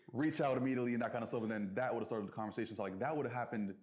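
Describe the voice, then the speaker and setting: A man talking casually and reflectively as an interview guest, earnest and halting, circling back over his phrases. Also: medium pitch, darkish tone. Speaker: interview guest, business entrepreneur. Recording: dull lo-fi audio.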